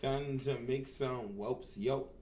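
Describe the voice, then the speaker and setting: A man's voice: medium pitch, steady tone, takes it slowly, warm tone, slight background noise. A man giving casual live gaming commentary as a streamer, slangy and exclamatory. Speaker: streamer reacting to a game.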